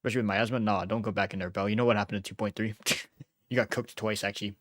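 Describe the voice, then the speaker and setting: A man's voice: fairly low pitch, steady tone, racing, no echo, studio quality. A man doing casual gameplay commentary as a streamer, joking and slangy, often chuckling.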